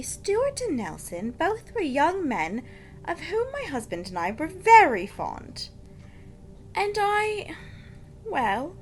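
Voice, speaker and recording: A woman's voice: very high-pitched, slight background noise, full character voice. A woman playing an anxious, hesitant married lady in a melodramatic radio crime drama. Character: anxious, fretful married society lady informant. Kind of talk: melodramatic period radio acting.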